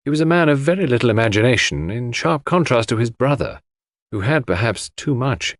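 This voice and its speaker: A man's voice: mid-range voice, lively intonation, brisk, no room sound, clean studio sound. A man reading scripted literary fiction as a dramatic audiobook narrator.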